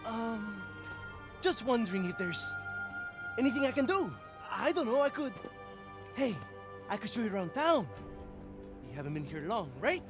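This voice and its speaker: A woman playing an eager, starstruck, excitable youngster in a video game. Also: on the high side, moderate pace, long pauses. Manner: excitable, hesitant. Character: eager, starstruck youngster offering to help the hero. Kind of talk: animated video game acting. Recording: loud music behind.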